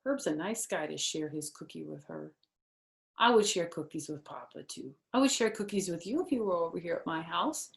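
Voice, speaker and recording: A woman's voice: on the low side, lively intonation, moderate pace, gravelly. A middle-aged or older woman, a grandmother, reading a children's storybook expressively with casual asides. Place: indoor living room on a sofa. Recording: roomy indoor echo.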